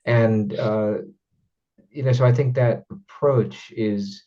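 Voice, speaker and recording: A man hosting an interview, speaking casually and haltingly, often repeating words as he thinks aloud. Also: medium pitch, fairly even intonation, warm tone, lo-fi sound. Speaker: interview host.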